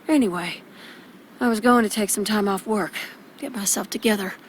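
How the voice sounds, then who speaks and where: A woman's voice: mid-range voice, moderate pace, slight background noise, close to an everyday voice. An actress playing a defensive, bitter, struggling mother in a video game. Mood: guarded, curt.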